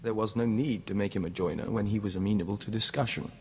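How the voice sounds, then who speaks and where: Man playing low-voiced, solemn hive-mind diplomat. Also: darkish tone, long reverb tail, a little hiss or hum, close to an everyday voice. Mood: measured. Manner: formal, measured, speaks of himself as we. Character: calm, dutiful male diplomat joined to an insect hive mind. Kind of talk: scripted video game dialogue.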